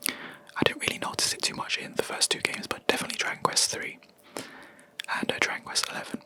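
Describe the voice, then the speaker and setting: Very bright, crisp whisper. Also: brisk. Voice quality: soft unvoiced whisper throughout. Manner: rambling, hesitant, with many ums and self-corrections. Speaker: video creator. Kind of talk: whispered ASMR gaming chat.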